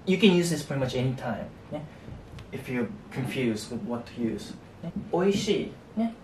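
A young man with a Japanese accent, teaching a relaxed whiteboard lesson and tagging his sentences with a soft "ne" and "you know". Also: normal speed. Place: small indoor room at a whiteboard. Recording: echoey room, distant mic, faint rumble.

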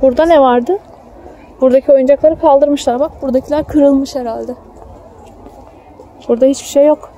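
A woman's voice: sits high, steady tone, normal speed, pauses often, warm tone, rough recording. A young woman, a mother chatting casually with her child as she films on a phone outdoors. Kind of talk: casual chat with a child while filming. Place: outdoor children's theme park. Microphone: handheld phone, behind the camera. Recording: outdoor phone recording, ambient park noise. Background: faint outdoor ambience.

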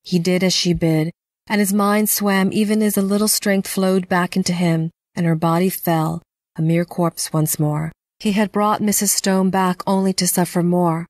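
A woman reading scripted narration for a dark fantasy audio drama. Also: on the low side, fairly even intonation, normal speed, dry recording.

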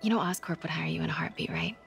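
A woman's voice: sits low, fast talker, vocal fry, a bit bright, soft background music, exaggerated voice. An American woman in her twenties playing a candid, guarded young reporter in a game cutscene, wry and probing. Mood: wry, curious. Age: 20s. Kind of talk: naturalistic video game cutscene acting.

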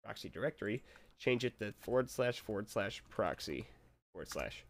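A young man talks through a screen tutorial in a relaxed, rambling way. His voice sits fairly low and is a little hoarse from a cold. He chuckles to himself now and then. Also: moderate pace. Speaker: tutorial maker. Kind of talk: casual screen-recorded tutorial.

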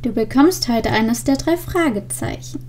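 Young female vlog host, high voice, unhurried delivery, close desk mic in a small room. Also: animated, darkish tone, slight background noise. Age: young adult. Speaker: video host. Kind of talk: casual vlog with story reading. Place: indoors, small room with green screen. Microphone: desk microphone with pop filter.

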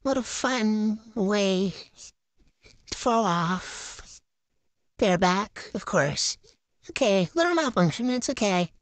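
A young woman gives casual play-by-play commentary as a gaming vlogger. She talks in short, chatty phrases, narrating each move as she goes. Her voice sits at a medium pitch and is recorded close, with very little room sound. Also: expressive, slow, long pauses, no echo.

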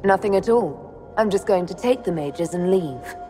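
A woman's voice: on the low side, expressive, moderate pace, dark tone, lightly acted. An actress playing a wry, cool-headed young elf woman envoy in a video game, dry and self-possessed. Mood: defiant. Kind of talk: video game cutscene acting. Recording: long hall reverb, music underneath.

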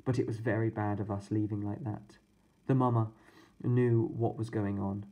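A man's voice: on the low side, little pitch movement, moderate pace, mellow, muffled recording, natural voice. A man voicing a stern but kindly woman babysitter who scolds and preaches to the boys in a storybook reading. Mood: earnest.

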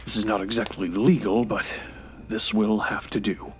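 A man's voice: lowish voice, fairly even intonation, normal speed, close to an everyday voice. Man playing a weary, defiant dying old man. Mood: solemn, weary. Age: 90s as played. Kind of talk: audio drama acting. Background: music.

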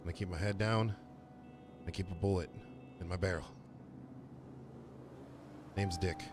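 Low, world-weary noir tough guy played by a man. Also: fairly even intonation, unhurried, noisy background. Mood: brooding, grim. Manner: terse, brooding monologue. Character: world-weary, brooding male noir city detective type.

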